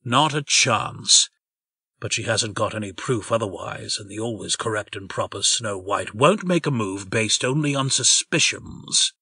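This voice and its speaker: A stern animal rebel leader played by a man speaks with a very bright, cutting edge and a rough rasp. The delivery is grave and forceful, as in a comic dub, set slightly back from the mic with faint music behind. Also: fairly low pitch, animated, measured pace, no echo, studio quality, recorded from a distance, full character voice. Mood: scheming.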